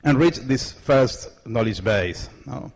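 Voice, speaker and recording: Middle-aged argentinian guy giving a talk, halting english with lots of uhs, really slow, handheld mic in a big hall. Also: medium pitch, animated, vocal fry. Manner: halting non-native English with many fillers and restarts. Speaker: conference presenter. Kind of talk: conference talk. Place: large lecture hall stage. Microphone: handheld microphone.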